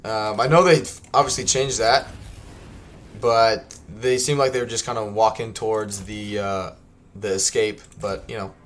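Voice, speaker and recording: A man's voice: fairly low pitch, fairly even intonation, unhurried, a bit bright. A young man streaming a strategy game, chatting loosely with many ums and restarts, his voice scratchy from a long day. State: scratchy tired voice. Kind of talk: casual gameplay livestream chat.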